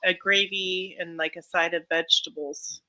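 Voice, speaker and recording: A woman chatting casually on a livestream, talkative and quick to laugh. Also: lowish voice, flat delivery, pushed and bright, rough recording. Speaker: livestream co-host. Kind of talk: casual chat.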